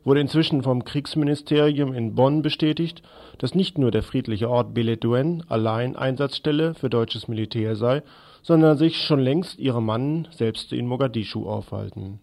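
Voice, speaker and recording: A man presenting scripted radio news and commentary in a deliberate, sardonic manner. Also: mid-range voice, vocal fry, darkish tone.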